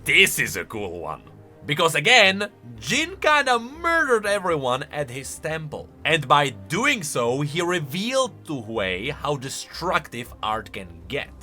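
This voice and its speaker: A man's voice: fairly high pitch, huge pitch swings, a little slow, pushed and bright, faint music behind. A man hosting a game lore channel with casual reaction commentary, musing aloud and leaning hard on key words.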